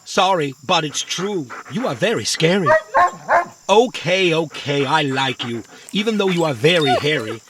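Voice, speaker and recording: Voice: mid-range voice, expressive, moderate pace, heavy background noise, lightly acted. An actor voicing a naive, literal-minded, friendly little robot in a children's audio drama, earnest and puzzled. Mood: nervous.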